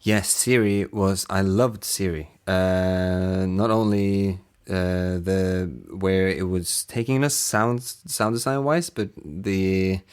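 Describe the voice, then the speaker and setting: A man working as a sound designer, chatting casually behind the scenes in a reflective, halting way as he searches for words. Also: low voice, fairly even intonation, a little mellow, clean tone.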